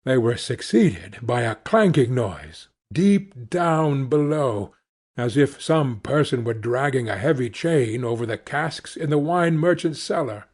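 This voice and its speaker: A man reading a classic novel aloud as an audiobook, with measured and expressive storytelling. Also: medium pitch, husky. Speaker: audiobook reader. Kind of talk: scripted narration of a classic novel.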